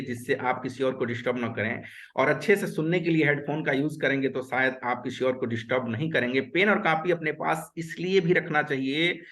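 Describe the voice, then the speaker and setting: A man's voice: on the high side, a bit bright. A man from Uttar Pradesh teaching an online webinar over a video call, earnest and repetitive, mixing English words into his talk. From Uttar Pradesh, India. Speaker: webinar instructor.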